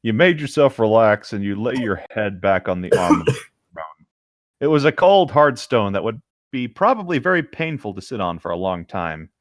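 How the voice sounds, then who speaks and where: Man, deep voice, lively intonation, casual story reading, hesitant jokey asides, dry room. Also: moderate pace, dry recording. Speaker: co-host reading and riffing on fan fiction. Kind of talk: casual read-aloud with chatty commentary.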